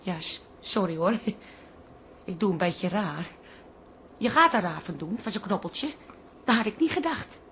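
An actress playing an anxious, sharp-tongued woman who covers her fear with blunt jokes, in a Dutch radio drama. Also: medium pitch, very animated, moderate pace, pauses often, slight background noise. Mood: shaken, embarrassed. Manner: sardonic, chattering, blunt.

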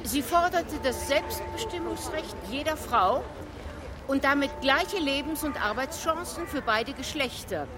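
A woman's voice: sits high, expressive, unhurried, over music. An actress playing an emphatic, lecturing female guide giving a tour in a radio drama. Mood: emphatic, instructive. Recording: clear outdoor ambient noise.

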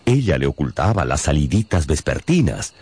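An actor narrating a dramatised radio novel as a wry, theatrical storyteller with knowing dramatic pauses. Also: male voice, very deep voice, sing-song, darkish tone, breathy edge, exaggerated voice. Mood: storytelling.

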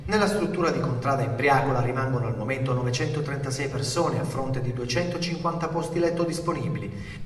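An actor playing a brisk, impersonal male news reporter reading a scripted bulletin. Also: medium pitch, lightly acted. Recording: echoey hall, distant from mic.

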